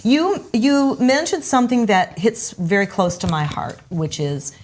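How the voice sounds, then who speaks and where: A woman's voice: medium pitch, very animated, unhurried, darkish tone, a little hiss or hum. Effusive woman hosting a studio talk show. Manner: effusive, gushing with praise. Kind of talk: casual studio interview chat. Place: television studio set.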